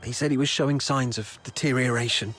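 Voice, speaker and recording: An actor playing a young town escort guard in a video game, anxious, chatty and world-weary but soft-hearted, explaining things conversationally. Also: male voice, mid-range voice, fairly even intonation, a little fast, lightly acted. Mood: earnest, uneasy.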